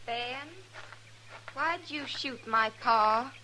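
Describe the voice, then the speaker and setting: A woman's voice: on the high side, bright, forceful tone, exaggerated voice. A woman playing a plain, plaintive rancher's daughter with a rural American Western accent in a 1950s radio drama. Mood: grieving. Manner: slow, simple country talk. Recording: noisy old radio recording.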